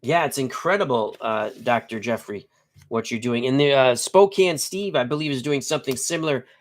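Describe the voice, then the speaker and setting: A man's voice: medium pitch, moderate pace. A middle-aged man hosting a tabletop baseball live stream, nasal and congested from a cold, chatting and joking while reading out player stats in short clipped bursts. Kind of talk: casual live-stream chat and lineup reading.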